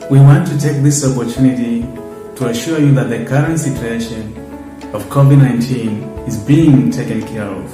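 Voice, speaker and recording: A man's voice: mid-range voice, normal speed. A man postgraduate student from Tanzania speaking in a formal, reassuring way. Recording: echoey room with guitar music.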